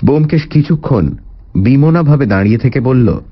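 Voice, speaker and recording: Expressive male storybook narrator. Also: mid-range voice, a little fast, highs cut off. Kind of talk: dramatized first-person storybook narration in a detective story.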